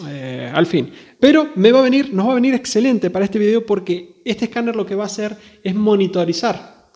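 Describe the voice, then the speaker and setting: Chatty man with a creaky, higher-pitched voice. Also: darkish tone. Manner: chatty, informal. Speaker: host of a radio hobby channel. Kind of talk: casual product review and demo.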